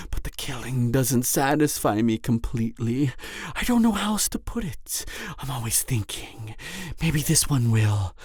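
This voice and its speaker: A woman's voice: very deep voice, a little mellow, no room sound, clean studio sound, full character voice. A woman playing a gleeful, mocking cannibal serial killer in a theatrical audio roleplay, taunting the listener. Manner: theatrical, taunting, frequent cackles and breathy whispers.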